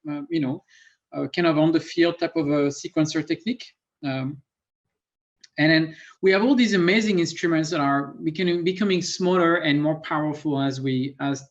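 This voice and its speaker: A man with a French accent, a native French speaker, giving an online science presentation with many fillers and pauses. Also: a little high. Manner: thoughtful, self-deprecating, many fillers. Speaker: guest lecturer. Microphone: computer mic on a video call. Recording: muffled lo-fi call audio.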